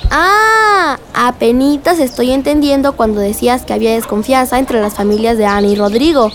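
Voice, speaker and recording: An actress playing a curious young niece in a radio drama, speaking in a plaintive, wondering way. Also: female voice, a little high, normal speed, natural voice. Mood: realizing. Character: curious, saddened young niece listening to aunt.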